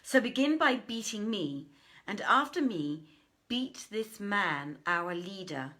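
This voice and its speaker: A woman's voice: mid-range voice, lively intonation, slow, bright, forceful tone. Woman reading as a brazen, declaiming male swindler. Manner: declaiming, pleading. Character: brazen swindler posing as a blind man, complaining loudly. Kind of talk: dramatic storybook reading.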